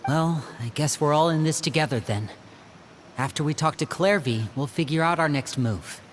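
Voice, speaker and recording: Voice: medium pitch, normal speed, slight background noise, natural voice. A voice actor playing a charming young stage magician who talks in a playful, theatrical way. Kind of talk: game voice acting.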